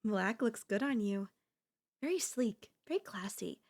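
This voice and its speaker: A woman's voice: medium pitch, expressive, unhurried. A woman playing a doting, possessive girlfriend in an ASMR audio roleplay, speaking in flowery, coaxing endearments with a teasing elegance. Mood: adoring. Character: doting, possessive yandere girlfriend, sweetly insistent and theatrical.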